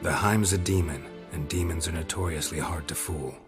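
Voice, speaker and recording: An actor playing a grizzled monster hunter who talks in terse, clipped, matter-of-fact sentences. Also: male voice, low voice, moderate pace, over loud music, natural voice. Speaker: game protagonist.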